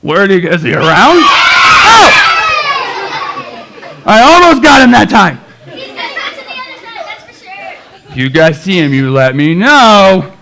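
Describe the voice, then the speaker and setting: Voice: mid-range voice, sing-song, slow, creaky, long pauses, crowd noise, background noise, full character voice. A performer voicing puppet characters in a children's church Christmas show, exclaiming and addressing the children in an exaggerated way. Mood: frantic searching.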